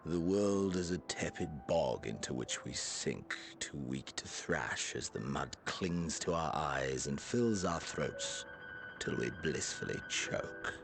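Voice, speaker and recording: A man playing a brooding, nihilistic warrior villain who speaks with grave, menacing, drawn-out phrasing in a video game cutscene. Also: extremely low pitch, expressive, background noise, heavily acted. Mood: weary disdain. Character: brooding, nihilistic male warrior villain, bored yet obsessive.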